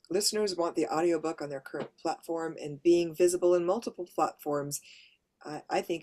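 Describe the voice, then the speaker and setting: Woman, lower pitched, practical presenter explaining things step by step to a workshop audience. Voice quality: mid to lower female voice. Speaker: workshop presenter and audiobook narrator.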